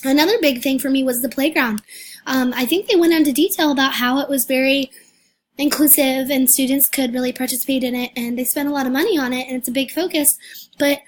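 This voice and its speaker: A hoarse young woman giving a recorded class presentation, talking hesitantly with many fillers and restarts. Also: high-pitched, steady tone, brisk. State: hoarse, losing her voice. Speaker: student presenter.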